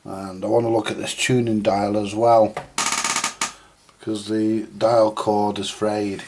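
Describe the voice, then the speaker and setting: A man with a husky voice, giving informal, unscripted hands-on commentary as he mutters through a repair, now and then mildly sweary. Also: lowish voice, flat delivery, measured pace, noisy background. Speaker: host of a vintage radio repair video.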